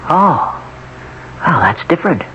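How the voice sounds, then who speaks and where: An actor playing a desperate, haunted young hospital patient in a 1970s radio drama, speaking with brooding, deliberate intensity. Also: male voice, a little high, sing-song, sharp tone, heavily acted. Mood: wary. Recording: dull, noisy old radio recording.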